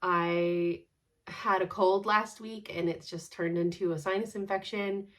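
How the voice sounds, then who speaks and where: A woman's voice: medium pitch, fairly even intonation, unhurried. Stuffy-nosed woman chatting casually. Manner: chatty, laughs often, rambling asides. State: congested, stuffed-up nose. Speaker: cross-stitch vlogger. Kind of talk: casual chat.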